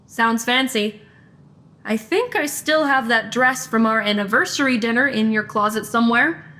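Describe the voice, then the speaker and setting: Young female actor as a sharp, take-charge supernatural investigator; high, bright voice, deadpan teasing, comedy audio drama. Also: moderate pace. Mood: intrigued, decisive. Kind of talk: audio drama acting, naturalistic comedic banter.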